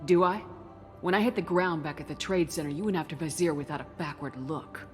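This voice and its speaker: Woman performing as game hero, female soldier in command, deep-toned, unshakeably calm and protective, light score behind. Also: fairly quick, close to an everyday voice. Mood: questioning. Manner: steady, reassuring, firm. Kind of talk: dramatic video game acting. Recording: music under the voice.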